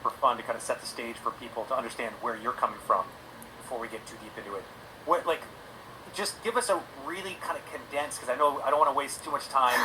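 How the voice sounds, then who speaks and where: A man's voice: mid-range voice, fast talker, background noise. A man hosting a stream interview, asking rambling, chatty questions. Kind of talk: casual interview talk.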